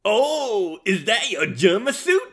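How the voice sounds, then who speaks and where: A man's voice: high-pitched, big pitch swings, slow pace, heavily acted. A man playing a gleeful, cocky, taunting villain with a teasing drawl in a fan-made manga drama. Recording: very loud noise behind voice.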